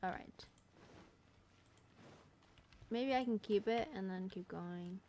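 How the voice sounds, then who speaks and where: A woman's voice: medium pitch, slow pace, mellow, a little hiss or hum. A woman giving casual gameplay commentary, muttering to herself and exclaiming in exasperation as she plays. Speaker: gamer recording a playthrough.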